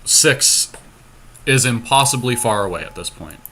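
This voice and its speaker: A man's voice: mid-range voice, lively intonation, unhurried, bright, forceful tone, slight background noise. A man co-hosting a podcast, casually commentating on a card game in informal language. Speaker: podcast co-host.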